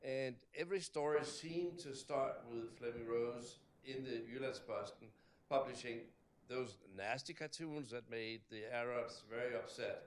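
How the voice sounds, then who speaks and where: A man's voice: mid-range voice, measured pace, echoey room, a little hiss or hum. Danish man asking a rambling question from the floor. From Denmark. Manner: rambling, storytelling. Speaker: audience member asking a question. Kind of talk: question from the floor at a panel talk.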